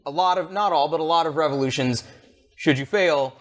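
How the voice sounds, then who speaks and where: A man's voice: fairly high pitch, brisk, fairly bright tone. A man co-hosting a casual gaming podcast from a living room couch, rambling with restarts and reading out viewer comments. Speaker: podcast co-host reading chat.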